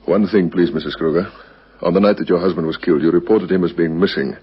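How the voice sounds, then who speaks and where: Radio-drama police captain: deep, brisk, husky, formally probing. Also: male voice, fairly even intonation. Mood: businesslike, probing. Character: calm, relentless male police captain detective. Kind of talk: old radio crime drama acting. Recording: muffled vintage radio recording.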